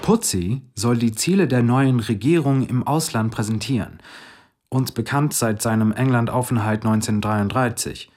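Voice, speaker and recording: A man's voice: medium pitch, normal speed, a little mellow. A man narrating in a scripted, dry style like an intelligence report.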